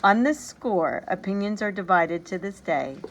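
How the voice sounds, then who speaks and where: A woman reading a children's story aloud in an animated, expressive, emphatic storytelling manner. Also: mid-range voice, normal speed, dull audio. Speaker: storybook reader. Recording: background noise behind the voice.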